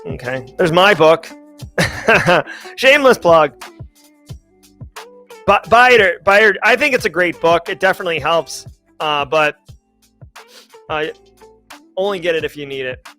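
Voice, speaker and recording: Forties male host, chatty and self-correcting, upbeat, bright tenor. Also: on the high side, lively intonation, a little slow, long pauses, faint music behind. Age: 40s. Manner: rambling, upbeat, restarts and corrects himself often. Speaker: livestream host answering chat questions. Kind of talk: casual livestream chat.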